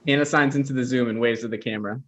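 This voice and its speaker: A man's voice: medium pitch, steady tone, rapid-fire, a bit bright, highs cut off, slight background noise. A man reading stage directions as scripted narration for a comedy table read.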